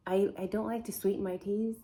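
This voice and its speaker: A woman's voice: mid-range voice, little pitch movement, a little fast, dark tone. A woman whose first language is not English, hosting a casual true crime vlog and chatting to viewers, wondering aloud and putting questions to them. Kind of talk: casual to-camera chat.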